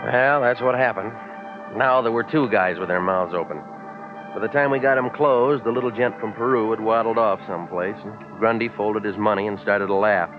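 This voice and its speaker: An actor playing a cynical, wisecracking American private eye, deadpan and weary, in 1940s radio noir style. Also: male voice, on the low side, moderate pace, phone-quality sound, over music, natural voice. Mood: wry, world-weary. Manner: deadpan, sardonic. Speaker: lead investigator and narrator. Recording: thin, band-limited old radio recording.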